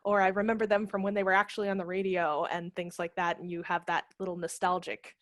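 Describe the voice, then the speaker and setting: A woman in her thirties explaining things in a casual, animated, conversational way as a guest on a video call, speaking into a headset. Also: fairly low pitch, quick pace, no room sound, clean studio sound. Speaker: guest, theatre managing director. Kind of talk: casual explanatory talk in an online session. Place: brick-walled room at home, indoors. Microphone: headset microphone on a video call.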